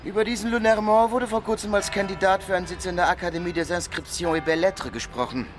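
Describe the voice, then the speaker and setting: An actor playing a dry, learned police inspector, reporting methodically in clipped phrases in a radio crime drama. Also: male voice, high-pitched, fast, noisy background, natural voice. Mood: brisk, factual. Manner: matter-of-fact, clipped reporting.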